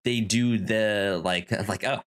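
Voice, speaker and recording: A man co-hosting a podcast in a casual film discussion, speaking haltingly and often repeating words as he works toward his point. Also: fairly low pitch, fairly even intonation, a bit bright, smooth. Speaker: podcast co-host.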